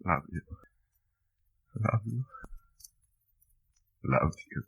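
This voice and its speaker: Broken, devout man, halting and extremely slow, low voice, vintage radio drama. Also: lively intonation, vocal fry, a bit bright, slight background noise, full character voice, poor audio. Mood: broken devotion. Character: broken man devoutly submitting to his dictator. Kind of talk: 1950s radio acting.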